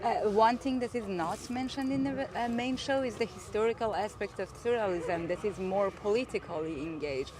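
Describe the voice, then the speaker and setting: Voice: medium pitch, normal speed, few pauses, background noise. Hesitant interviewer, many fillers. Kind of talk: casual interview. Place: outdoors at an art exhibition.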